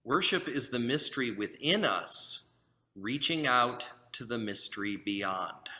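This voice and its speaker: A male minister with a very bright, forceful tone reads verse aloud over a video call. His delivery is measured and reverent, with easy asides between readings. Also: medium pitch. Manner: relaxed, joking asides before solemn reading. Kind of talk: leading a responsive reading in a service.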